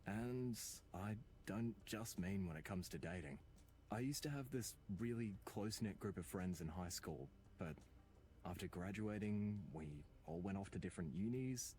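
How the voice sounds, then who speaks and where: A man's voice: low voice, lively intonation, moderate pace, a little mellow. A man acting a troubled, self-doubting, earnest young man in a visual novel, with faint ambience behind him. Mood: troubled, confiding. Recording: faint background noise and ambience.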